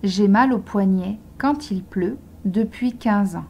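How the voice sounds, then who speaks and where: A woman reads short model sentences for beginner learners, one at a time. She speaks very slowly and articulates every word with care. Her voice is husky and mellow. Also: mid-range voice. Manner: slow, carefully articulated dictation. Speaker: language teacher.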